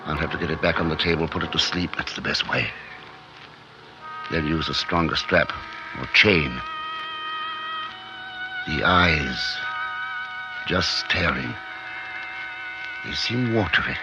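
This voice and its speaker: An actor in a 1950s radio drama playing an obsessed scientist, speaking in intense, halting bursts, awed and fearful of what he has made. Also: very deep voice, music in the background. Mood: awed, agitated. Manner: intense, halting, talking to himself in short bursts. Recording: dull 1950s radio recording.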